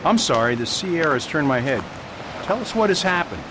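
An actor playing a gentle, absent-minded priest detective in a radio drama, hesitant and courteous. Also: male voice, a little high, very animated, a little fast, noisy background. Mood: dreamy, apologetic. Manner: hesitant, polite.

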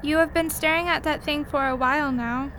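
Very high-voiced little alien girl acted by a woman. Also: steady tone, a little fast. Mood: stubborn, insistent. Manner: formal diction with few contractions. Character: lost alien little girl, desperate, earnest, headstrong. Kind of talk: radio play acting. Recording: loud car and outdoor noise behind.

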